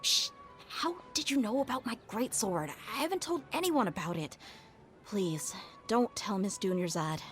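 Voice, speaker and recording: A woman playing a confident young mercenary swordfighter in a video game, direct, brisk and protective. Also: on the high side, huge pitch swings, soft background music. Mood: secretive, startled. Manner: direct, self-assured. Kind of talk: video game character acting.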